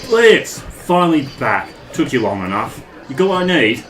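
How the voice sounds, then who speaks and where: A man playing a brisk, teasing underworld contact of a smuggler in an audio drama, chummy and familiar. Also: mid-range voice, big pitch swings, fairly bright tone, reverberant, soft background music. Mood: teasing, welcoming.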